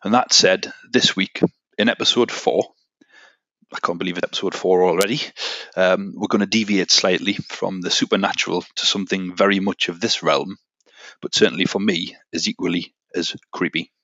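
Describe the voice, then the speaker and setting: A man's voice: deep voice, steady tone, normal speed, sharp tone, dry recording, highs cut off. A man hosting a podcast, delivering scripted narration with casual, conversational asides straight to the listener. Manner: conversational, addresses listeners directly. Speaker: podcast host.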